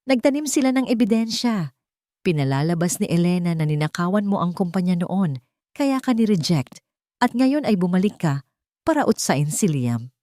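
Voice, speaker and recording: A woman's voice: lowish voice, big pitch swings, moderate pace, warm tone, no room sound, studio quality. A woman with a Filipino accent voicing a panicked, agitated male assistant in an audio love story, urgent in manner.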